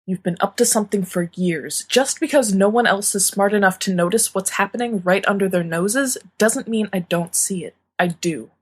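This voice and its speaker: Woman doing a sneering teen wizard boy for an audiobook, husky, hissy, snarly and suspicious of everyone. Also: medium pitch, normal speed, hardly any pauses, natural voice. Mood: suspicious, accusing. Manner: hissing, snarling, accusatory. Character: sneering, suspicious, hostile teenage schoolboy wizard. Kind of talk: dramatised fan-fiction audiobook reading.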